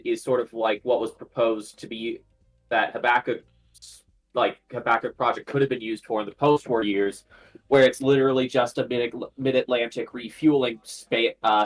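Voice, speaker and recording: A man taking part in a casual panel discussion. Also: medium pitch.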